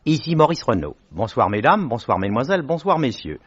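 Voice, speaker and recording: A man presents an old radio broadcast in a formal, measured way, explaining clearly. His pitch moves expressively. The sound is dull and lo-fi, like a vintage recording. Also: big pitch swings.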